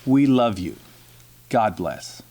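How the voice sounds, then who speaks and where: A man's voice: lowish voice, lively intonation, slow pace. A man serving as superintendent, giving graduates advice and closing wishes. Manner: advising, warm closing wishes. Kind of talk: graduation address.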